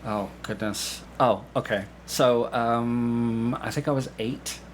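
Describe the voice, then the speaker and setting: Halting male storyteller over background noise. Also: medium pitch, steady tone. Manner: halting storytelling with repeated phrases and acted-out asides. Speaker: podcast guest, amateur actor. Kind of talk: casual anecdotal conversation. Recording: clearly audible background room noise.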